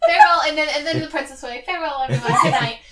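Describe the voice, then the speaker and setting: A woman's voice: sits high, very fast, a loud crowd. A woman playing a tabletop game, chatting casually in a playful, rambling way. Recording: roomy, distant mic with faint noise.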